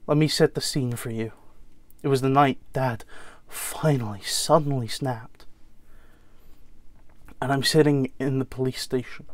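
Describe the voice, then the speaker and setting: A man acting a bitter, wounded American son confronting his mother in a dramatic monologue, halting and accusing, with an American accent. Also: medium pitch, no room sound, natural voice.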